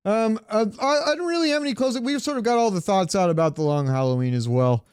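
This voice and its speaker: A man's voice: high voice, fast talker, a little mellow, clean tone, no echo, studio quality. A man co-hosting a podcast in casual pop-culture chat, rambling and searching for words, with casual swearing. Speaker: podcast co-host.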